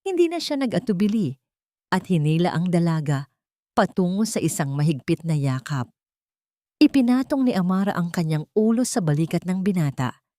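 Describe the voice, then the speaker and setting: A woman narrating a scripted emotional story in a slow, solemn, sentimental manner. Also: on the low side, big pitch swings, warm tone, no echo, studio quality.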